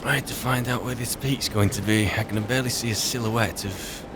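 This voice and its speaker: Game roleplay, man as weary adventurer mage: low register, dry, musing, grumbling, faint ambience behind. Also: steady tone, normal speed, noisy background, lightly acted. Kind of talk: in-character game roleplay narration.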